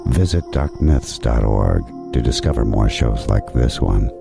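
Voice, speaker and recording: A man with a gravelly voice reading an ominous scripted promo as an announcer. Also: rumbling low, expressive, measured pace, over music. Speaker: network announcer.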